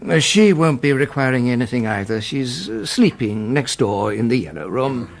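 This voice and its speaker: A man's voice: medium pitch, sing-song, normal speed, vocal fry, very noisy. An actor playing an absent-minded, devoted elderly scientist father in a radio drama, with formal diction. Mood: absorbed, distracted.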